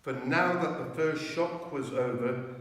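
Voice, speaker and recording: In a large, very reverberant church, a man narrates a storybook from some distance off the microphone. He reads slowly and dramatically, with deliberate phrasing at a medium pitch. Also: a bit bright. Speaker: clergyman reading a children's story aloud. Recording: very echoey church, far from mic.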